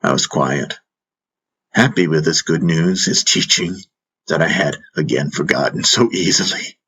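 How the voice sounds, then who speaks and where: A man with a husky voice narrating a first-person fantasy audiobook in a reflective storytelling manner. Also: a bass voice, unhurried, pushed and bright.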